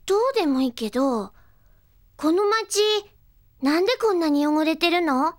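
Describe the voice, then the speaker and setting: A woman's voice: high voice, lively intonation, moderate pace. A woman playing an airy, chatty little girl witch who runs a magic shop, in a cute, dramatic audio drama style. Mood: puzzled. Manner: dramatic, cute, talks to herself. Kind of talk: Japanese audio drama character acting.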